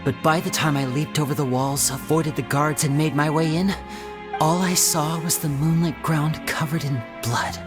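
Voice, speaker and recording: An actor playing an earnest, guarded young stage magician in a video game, speaking in a measured, explanatory way. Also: male voice, fairly high pitch, fairly even intonation, close to an everyday voice. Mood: grave, recounting. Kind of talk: video game story voice acting. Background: background music.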